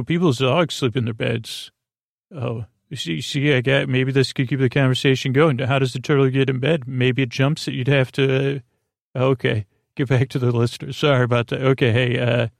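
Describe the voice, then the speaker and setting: A man hosts a bedtime podcast in a medium-pitched voice full of fry. He rambles whimsically, stopping and restarting as he meanders from one thought to the next. The sound is dry, with no room around it. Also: no room sound. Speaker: podcast host.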